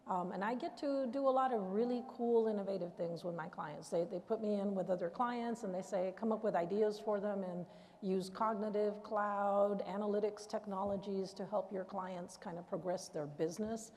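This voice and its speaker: A middle-aged woman from South Texas with a mellow, relaxed voice, introducing herself on a panel. She talks in a chatty, self-deprecating way. The sound carries a long reverb from a large hall. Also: mid-range voice, moderate pace. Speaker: panelist, engineer.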